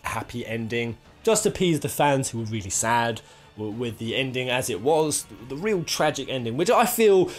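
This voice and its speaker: A man's voice: mid-range voice, lively intonation, moderate pace, faint music behind. A man hosting a countdown video, giving enthusiastic opinion commentary in an informal, rambling and emphatic way. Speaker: host of a gaming countdown video.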